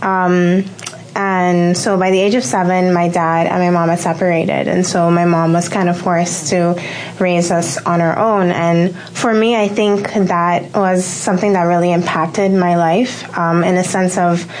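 A woman's voice: on the low side, flat delivery, unhurried, darkish tone, low-quality recording. A young woman from Curacao telling her testimony in a radio studio, hesitant with many ums. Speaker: guest sharing testimony. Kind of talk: personal testimony in interview.

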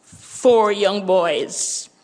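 A woman's voice: medium pitch, very slow. An older Jamaican woman with a Jamaican accent, a missionary giving an earnest church missions report and testimony.

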